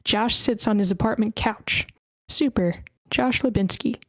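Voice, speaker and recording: A woman's voice: on the high side, measured pace, dry recording. A woman hosting an online table read, casually organizing and reading screenplay stage directions aloud. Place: online meeting.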